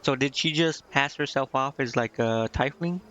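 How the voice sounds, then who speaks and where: A man giving casual, informal let's-play commentary as a game streamer, talking in a halting way. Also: mid-range voice, fairly bright tone, a little hiss or hum. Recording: dull lo-fi mic, music behind. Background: game music.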